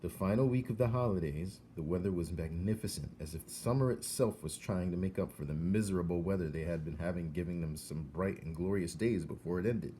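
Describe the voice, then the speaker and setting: Low, mellow male storybook narrator. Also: a little fast, few pauses, slight background noise. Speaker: storybook reader. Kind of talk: expressive read-aloud narration.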